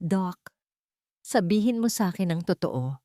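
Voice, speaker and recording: A woman's voice: fairly low pitch, animated, unhurried, mellow, dry recording, studio quality. A woman voicing an older male general in an audiobook, cold and commanding, with heavy, clipped, emotionless delivery. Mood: cold menace.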